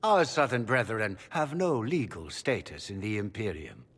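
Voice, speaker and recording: An actor playing a suave, calculating male magister with a husky voice and courtly, theatrical manners. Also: lowish voice, sing-song, moderate pace, fairly bright tone, exaggerated voice. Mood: smooth, calculating. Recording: long hall reverb.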